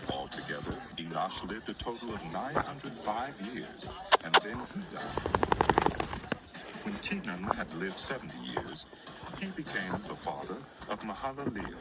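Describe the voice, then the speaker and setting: A man giving a solemn, measured narration of a dramatized Bible reading. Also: on the low side, very animated, very noisy. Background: prominent music.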